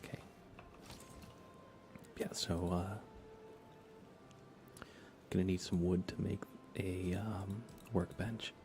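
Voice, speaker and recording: A man hosting an ASMR gaming livestream, speaking in a breathy, hushed, reassuring whisper with some vocal fry. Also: deep voice, flat delivery, slow pace, long pauses, background noise. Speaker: livestream host.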